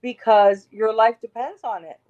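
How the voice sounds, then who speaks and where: A woman with a raspy voice, talking emphatically as an interview guest, rambling and restarting her sentences. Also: on the high side, steady tone, moderate pace, mellow, muffled recording. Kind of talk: casual interview talk.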